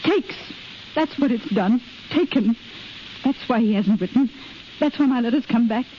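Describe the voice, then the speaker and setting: An actress as a fragile, high-voiced young wife. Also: female voice, moderate pace, natural voice. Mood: despairing. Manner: earnest, wistful. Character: lonely, anxious expectant young wife, tender and fragile. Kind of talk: 1930s radio acting. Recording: muffled, hissy 1930s radio recording.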